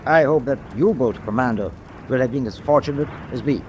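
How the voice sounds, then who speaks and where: An actor playing a confident German captain of a wartime tanker, formal and measured, in a radio drama. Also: male voice, medium pitch, natural voice. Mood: measured, satisfied. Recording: faintly noisy radio drama recording.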